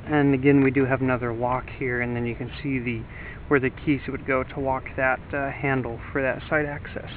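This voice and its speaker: A man giving a casual walkthrough of his cargo trailer setup. He explains things plainly and relaxed, with fillers and restarts. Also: medium pitch. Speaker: DIY channel host. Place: inside an empty cargo trailer. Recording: tinny lo-fi audio with faint background noise.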